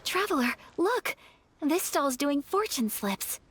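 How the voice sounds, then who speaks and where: A woman playing a young noblewoman in a video game, gentle, formal and polite, modest and easily flustered. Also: high voice, very animated, slow pace, a little hiss or hum, full character voice. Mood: pleased. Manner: formal, gracious, hesitant when flustered.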